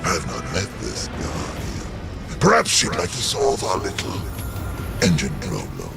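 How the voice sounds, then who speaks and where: A pompous, gloating alien emperor from a video game, with a low, gravelly voice. He speaks in florid, theatrical swoops and takes his time, over background music. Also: huge pitch swings, measured pace, exaggerated voice. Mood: sly, amused. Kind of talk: dramatic video game acting.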